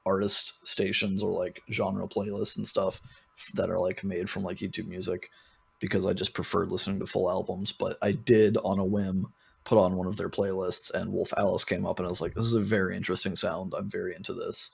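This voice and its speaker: A man's voice: low-pitched, little pitch movement, fairly quick, vocal fry, husky. A man hosting a podcast in a casual, rambling and informal way, talking through music with frequent asides. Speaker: podcast host. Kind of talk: casual album discussion.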